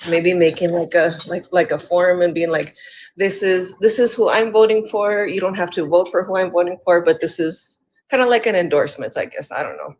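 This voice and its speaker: An American woman with a slightly raspy, under-the-weather voice, chatting hesitantly as a livestream guest and repeating her phrases. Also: on the low side, fairly quick, darkish tone. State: recovering from sickness, throat-clearing. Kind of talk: casual political chat.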